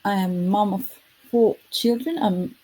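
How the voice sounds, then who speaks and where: Woman in her 30s doing a live online talk, warm breathy voice, earnest, restarts a lot. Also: medium pitch, measured pace, poor audio. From Estonia. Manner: earnest, self-correcting, lightly self-deprecating. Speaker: futurist presenting her product.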